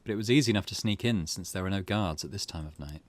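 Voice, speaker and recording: A man's voice: sits low, fast talker, no echo. A man doing let's play narration, reading game text aloud and muttering his own reactions between passages. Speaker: gaming video host.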